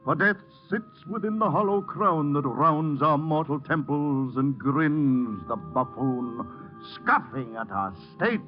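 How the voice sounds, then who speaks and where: On a dull vintage radio recording, an old tragedian lost in his dreams. He declaims verse slowly and mournfully in a fairly high male voice, with grand theatrical weight. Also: soft background music. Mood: mournful, broken. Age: older. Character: broken old Shakespearean actor, tragic, lost in dreams. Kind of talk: 1950s radio drama acting.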